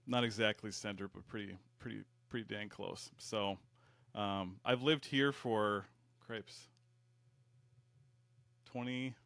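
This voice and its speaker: A man's voice: lowish voice, steady tone, slow, vocal fry, long pauses, a bit bright, dry recording. A man from Michigan's Upper Peninsula, a fire service educator, talking in a folksy, rambling, self-deprecating way. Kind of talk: casual interview.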